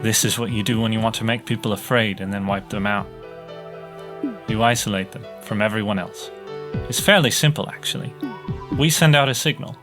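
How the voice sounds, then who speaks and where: A man's voice: on the low side, a little fast, lightly acted. A young man acting as a bitter, overworked student technician in a sci-fi audio drama, griping sarcastically through a monologue. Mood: bitter, frustrated. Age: young adult. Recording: music behind the voice.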